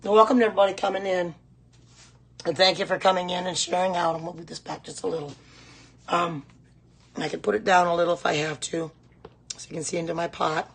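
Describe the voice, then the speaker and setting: Hoarse woman chatting while cooking. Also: lowish voice, steady tone, fast talker, long pauses. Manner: chatty, repeats herself, greets viewers. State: hoarse voice, clearing throat at times. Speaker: host of a live home cooking stream. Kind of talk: casual live cooking demonstration chat. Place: home kitchen.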